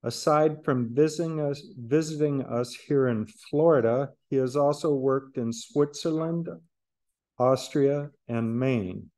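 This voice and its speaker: A man chairing a church service, slowly reading an introduction of the guest speaker at a lectern. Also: mid-range voice, dark tone. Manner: slow, measured reading. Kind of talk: read introduction. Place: church hall, indoors. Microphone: lectern mic.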